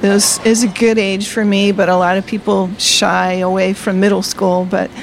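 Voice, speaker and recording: A woman's voice: medium pitch, little pitch movement, normal speed, vocal fry, noisy background. Cheerful woman in her sixties, laughing easily. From Delaware. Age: 60s. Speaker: guest, retired teacher. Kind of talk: personal testimony in conversation. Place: coffee shop.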